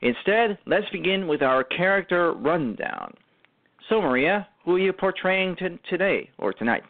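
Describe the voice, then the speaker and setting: A man hosting a radio show, reading a formal, wordy introduction with halting stumbles. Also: sits high, lively intonation. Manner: formal, elaborate announcer phrasing with halting stumbles. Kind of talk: live scripted show introduction. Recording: tinny phone-like internet radio line.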